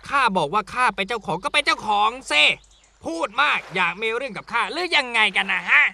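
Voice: medium pitch, animated, quick pace, bright, forceful tone. An actor doing a bossy boastful frog. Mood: threatening. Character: boastful bossy male frog pond owner. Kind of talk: cartoon fable voice acting.

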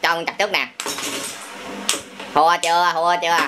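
A man's voice: fairly high pitch, flat delivery, normal speed. A man with a southern Vietnamese accent chatting casually and playfully with his young son, often mouthing sound effects. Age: adult. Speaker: father playing with his young son on a family toy channel. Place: small tiled room indoors. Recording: noisy home camera recording, plastic bricks clicking.

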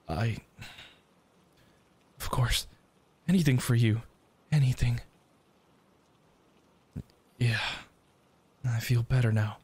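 A man voicing a desperate, lovesick, self-loathing young yandere in an intimate ASMR roleplay, halting and stammering. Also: mid-range voice, darkish tone. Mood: ashamed, yearning. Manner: halting, stammering, pleading, breathy sighs.

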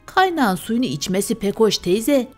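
A woman's voice: a little high, very animated, normal speed, faint music behind. A woman voicing a young man who plays a placid, innocent simpleton in a storybook tale.

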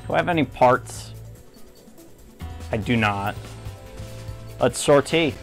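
A male gamer talks lightly through his playthrough. His voice is medium in pitch, low-key and conversational, as if thinking aloud. Soft game music plays underneath. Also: animated, slow, gravelly. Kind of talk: casual light gameplay commentary.

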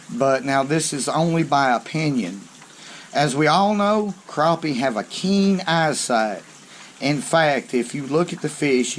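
A man's voice: medium pitch, a little hiss or hum. A man, hosting a fishing channel and showing tackle at a table in a homey, folksy way, saying "okay" often. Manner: slow, folksy, down-home talk with frequent "okay". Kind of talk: hands-on tackle demonstration. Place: covered outdoor porch or garage on a rainy day.

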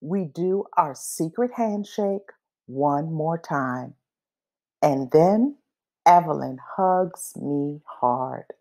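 A woman reading a children's picture book aloud expressively, pausing between phrases and acting out the dialogue. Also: low voice, darkish tone. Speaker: storybook reader.

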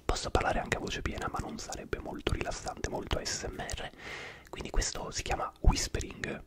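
A man whispering throughout as he hosts an ASMR live stream, chatting and rambling to viewers by name. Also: normal speed.

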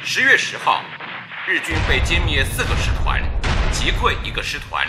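An actor playing a solemn, declamatory 1930s wartime radio announcer reading bulletins in a radio drama. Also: male voice, fairly high pitch, wildly swooping pitch, normal speed, heavy background noise. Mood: triumphant.

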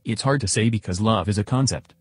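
An earnest chatbot reenacted by a man speaks in a lowish, husky voice. It gives short, philosophical, matter-of-fact answers as a podcast guest, in a dry recording. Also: brisk, no room sound. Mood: earnest, measured. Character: earnest, philosophical male chatbot being interviewed.

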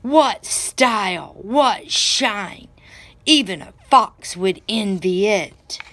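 A woman's voice: mid-range voice, animated, drawn-out words, a bit bright, heavily acted. Woman playing an emphatic, proud storybook dog. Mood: indignant, proud. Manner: haughty, emphatic. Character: proud, indignant male farm dog in a storybook.

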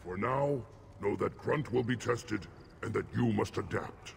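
A man playing a grim, ceremonial alien warrior shaman with a gravelly voice in a video game cutscene. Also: on the low side, unhurried, a little hiss or hum. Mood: solemn. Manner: ceremonial, commanding. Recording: loud music behind. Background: loud game music.

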